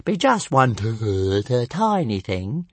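A man's voice: medium pitch, very animated, takes it slowly, fairly bright tone, slightly rough, no echo, exaggerated voice. A man voicing a small, sly young male dragon who stutters and whines. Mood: evasive. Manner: whiny, evasive.

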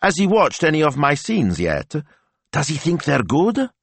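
An anxious, eager young woman with a high, lifted voice and a lively lilt, as a male storyteller puts her on. It is close and dry. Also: a little slow, gravelly, no room sound, full character voice. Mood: hopeful.

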